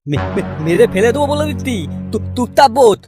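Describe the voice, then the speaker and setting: An irate, threatening husband from a comic cartoon, acted by a man, swinging widely in pitch as he stammers. Loud music plays behind him. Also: expressive, a little fast. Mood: angry, threatening.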